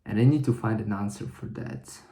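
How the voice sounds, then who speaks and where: A young man talking to camera in a reflective vlog, thinking aloud in a halting way with many restarts. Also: fairly low pitch, warm tone. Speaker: vlogger. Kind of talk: reflective solo vlog monologue.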